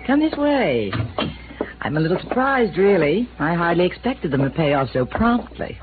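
A sharp-tongued, defensive young widow. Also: female voice, fairly low pitch, big pitch swings. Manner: sarcastic, defensive, quick retorts. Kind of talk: 1950s radio drama acting. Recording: tinny old radio broadcast with hiss.

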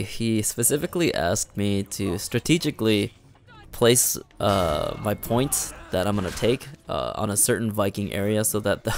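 A young man chatting casually over gameplay, rambling with fillers and restarts, his voice worn raspy. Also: on the low side. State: raspy, strained voice. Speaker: gaming commentator. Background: faint background music.

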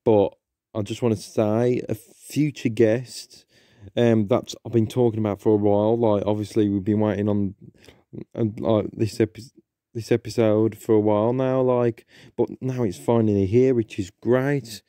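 A man's voice: lowish voice, flat delivery, moderate pace, warm tone, dry recording, studio quality. A young man hosting a podcast, chatting casually and hesitantly with many ums and restarts. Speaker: podcast host. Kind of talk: casual solo intro chat.

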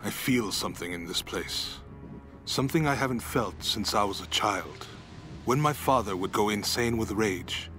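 A solemn mystic, played by a man, broods through a game journal over faint music. His voice is fairly low, poetic and haunted. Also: a bit bright, lightly acted. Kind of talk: dramatic game voice acting, monologue.

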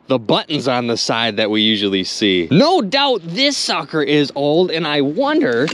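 A man narrating his magnet fishing trip outdoors by a river, chatty and excitable, constantly talking to his viewers. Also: fairly high pitch. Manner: excitable, chatty, keeps addressing viewers as "you guys". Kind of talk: excited outdoor vlog commentary.

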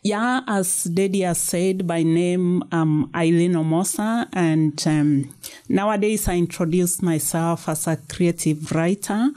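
Kenyan woman, 50s, low and mellow, thoughtful unhurried interview guest. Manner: thoughtful, unhurried storytelling with soft fillers. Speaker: guest author. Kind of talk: casual interview. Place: small recording studio. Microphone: studio mic on boom arm.

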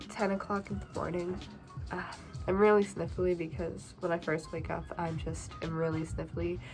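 A woman's voice: medium pitch, darkish tone. A teenage girl chatting casually to her phone camera in her bedroom, rambling with lots of "like" fillers. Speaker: vlogger. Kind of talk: casual day-in-the-life vlog. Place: small bedroom and home, indoors. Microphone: phone camera held close.

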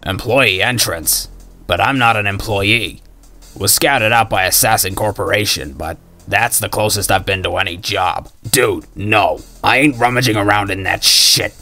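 A man's voice: lowish voice, moderate pace, pushed and bright. A man voicing a snarky, foul-mouthed ginger schoolboy gunslinger in a video game, cocky and slangy yet caring. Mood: sardonic. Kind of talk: video game character voice acting.

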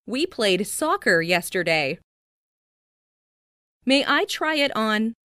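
Female language-lesson narrator, higher-pitched and unhurried, enunciating each practice phrase. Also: very animated, bright, forceful tone, no echo, studio quality. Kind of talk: scripted reading of English practice phrases.

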